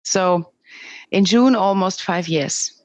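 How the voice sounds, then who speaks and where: A middle-aged woman with a Swiss German accent, speaking English as a second language, talking candidly as a podcast guest over a remote call with halting restarts. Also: medium pitch. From Switzerland. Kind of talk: interview conversation.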